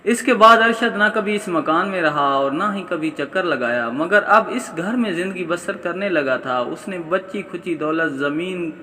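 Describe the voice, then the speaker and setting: Male audiobook narrator for dramatic fiction, sharp and forceful tone, heavy hall reverb. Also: sits high. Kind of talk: dramatic scripted story narration.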